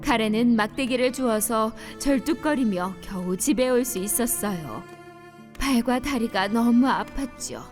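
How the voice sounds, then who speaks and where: A woman's voice: fairly high pitch, lively intonation, a little slow. Woman narrating a children's cartoon story. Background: children's music.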